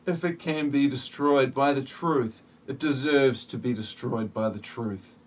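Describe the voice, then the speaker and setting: A man's voice: mid-range voice, a little slow, natural voice. A man playing an earnest, moralizing mysterious outsider in an amateur parody audio play. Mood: solemn.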